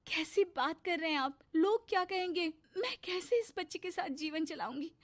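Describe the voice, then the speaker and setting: Lady doing a resentful stepmom in a cartoon story, sharp, whiny complaints, high pitched. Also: animated, moderate pace. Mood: alarmed, indignant. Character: bitter, resentful stepmother and wife, always scolding.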